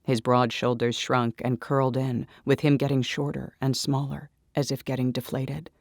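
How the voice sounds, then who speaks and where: A man delivering dramatic first-person scripted story narration in an intense, deliberate manner. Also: mid-range voice, little pitch movement, creaky, no room sound, studio quality. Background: music.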